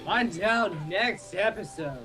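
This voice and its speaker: A woman's voice: medium pitch, expressive, slow pace. A woman playing along in a game session, casually reading dialogue aloud as an amateur and bantering. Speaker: co-player voicing game dialogue. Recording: music clearly behind the voice.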